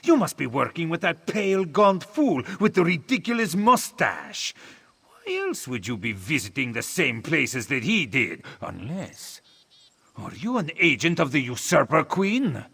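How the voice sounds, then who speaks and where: A suspicious, sneering local from a fantasy game. The voice is creaky and husky, with lively swings in pitch as he accuses and complains. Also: measured pace, full character voice. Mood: suspicious, accusing. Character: suspicious, scornful male local of a fantasy land. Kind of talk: video game character acting.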